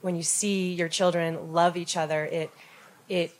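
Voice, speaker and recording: A woman's voice: on the low side, level tone, unhurried, a little hiss or hum, low-quality recording. A mother in a church group, losing her voice, reflecting personally on her two children and on serving. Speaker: parent in congregation.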